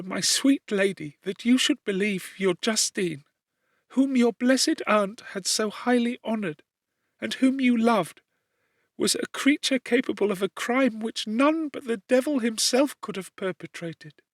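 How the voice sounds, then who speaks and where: An anguished young servant woman in a classic audiobook , played by a man. The voice is pitched very high, meek and earnest, pleading through tears. The sound is dry, with no room around it. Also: unhurried, no echo, heavily acted. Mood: mournful, resigned. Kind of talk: dramatic audiobook character reading.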